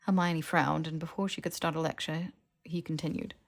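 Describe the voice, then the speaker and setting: Woman, audiobook narrator, scripted fiction reading, slightly low pitch. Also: fairly even intonation, brisk, vocal fry.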